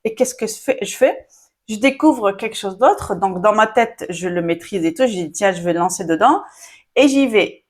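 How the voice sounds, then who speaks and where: A woman's voice: medium pitch, expressive, moderate pace. Woman, online business coach, casual chatty delivery, lots of fillers and asides, Arabic first language. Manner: chatty, frequent fillers, addresses viewers directly. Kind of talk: casual talking-to-camera advice.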